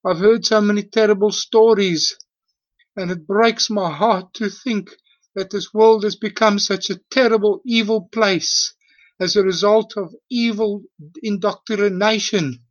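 A man in his late fifties with a South African accent, leaving an earnest, halting and emphatic personal testimony as a voicemail. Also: sits high, steady tone. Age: late 50s. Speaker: voicemail caller. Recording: dull lo-fi voicemail audio.

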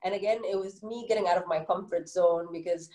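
Middle-aged woman author, Egyptian English accent, low mellow tone, earnest, filler-heavy answers, roomy echo. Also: a little fast. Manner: earnest, many "you know" fillers. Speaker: children's book author, guest. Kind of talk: interview answers.

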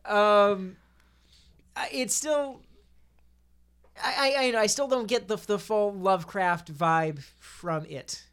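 A woman's voice: mid-range voice, takes it slowly, long pauses, smooth. A woman chatting casually as a podcast panelist, often repeating words and restarting her phrases as she argues a point. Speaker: podcast panelist debating games. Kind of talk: casual chat.

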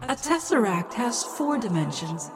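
A woman playing a detached reciter of geometry lessons in an eerie audio drama, measured and instructional. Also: on the high side, big pitch swings.

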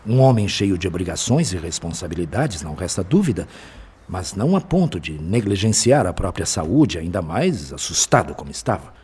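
A man playing a wise, calm spirit physician in a radio drama, explaining things in a measured, formal, fraternal way. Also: sits low, breathy edge, heavily acted. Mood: gently instructive.

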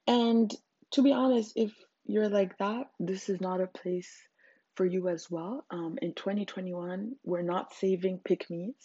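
A woman's voice: medium pitch, steady tone, a little slow, dark tone, husky, no echo, highs cut off. A young Zimbabwean woman, speaking English as a later language, hosting her own podcast in an earnest, emphatic way. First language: not English. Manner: earnest and emphatic, frequent fillers. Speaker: podcast host. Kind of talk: solo podcast monologue.

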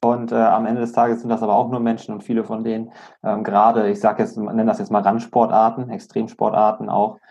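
A man hosting a conversational interview in a measured, reflective, polite way, with muffled sound. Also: fairly low pitch, flat delivery, creaky, a little mellow. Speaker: podcast host, interviewer.

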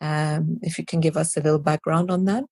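A woman with a fairly low voice hosts a podcast interview. She speaks conversationally, prompting gently, and the sound is dry with little room in it. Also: fairly even intonation, fairly quick, husky, no echo. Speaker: podcast host.

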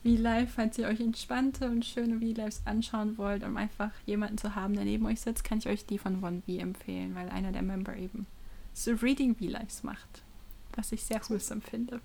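A woman co-hosts a casual fan podcast. Her pitch sits in the middle, and she slips English slang into her sentences as she chats. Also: little pitch movement, moderate pace, creaky, warm tone. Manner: peppers German with English slang. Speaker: podcast co-host. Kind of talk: casual fan chat about pop music.

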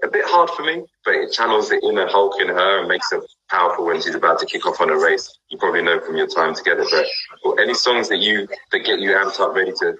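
A man's voice: low-pitched, fast, sharp tone. A man hosting a live interview chat, upbeat and encouraging, often repeating his phrases. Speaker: host. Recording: dull lo-fi video call audio.

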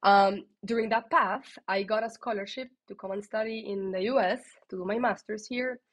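A woman with a strong Colombian Spanish accent, a podcast guest telling her story in a lively, candid way. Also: mid-range voice, normal speed. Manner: lively and candid, with frequent fillers and restarts. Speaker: guest, tech executive.

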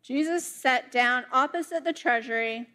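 A young woman, a summer intern, reading scripture and preaching a sermon in a church, with a slow, careful delivery. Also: high-pitched, sharp tone. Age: young adult. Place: church sanctuary.

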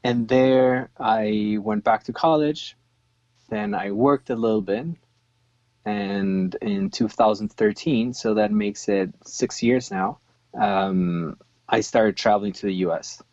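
A man's voice: on the low side, slow pace, a little mellow, dry recording, muffled recording. A man from Chile whose first language was Swiss German, talking in a casual interview with many ums and restarts.

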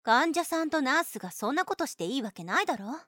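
A woman acts out a young nurse for an audio drama in a high, anime-style voice. She is blunt and boyish in her phrasing, yet protective and clingy. The sound is very dry, with no room around it. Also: sing-song, fast talker, fairly bright tone, no echo. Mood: cool, evasive. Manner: blunt boyish phrasing, doting toward the patient. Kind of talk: Japanese anime-style situation voice drama acting.

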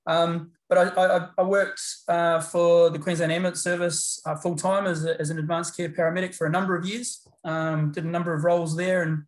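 A middle-aged man with a New Zealand accent, chatting as an interview guest in a relaxed, rambling way full of fillers and restarts. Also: a little high, brisk, hardly any pauses. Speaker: guest, paramedicine lecturer. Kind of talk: casual interview chat. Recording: roomy echo.

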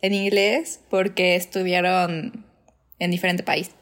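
A young woman with a Mexican Spanish accent, co-hosting a podcast in casual chat, chiming in often with quick agreeing interjections. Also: on the low side. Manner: quick affirming interjections, relaxed conversational back-and-forth. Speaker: podcast co-host.

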